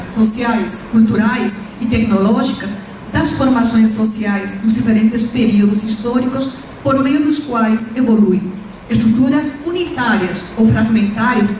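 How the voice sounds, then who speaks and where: A woman with a Peruvian Spanish accent gives an academic presentation in a measured, formal way, stopping now and then on Spanish-sounding fillers. Her somewhat high voice comes from away from the microphone. It rings through a very echoey hall, with a faint hiss behind it. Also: a little mellow, distant mic. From Peru. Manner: formal, measured lecturing with Spanish-tinged hesitations. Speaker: invited speaker, professor. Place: lecture hall.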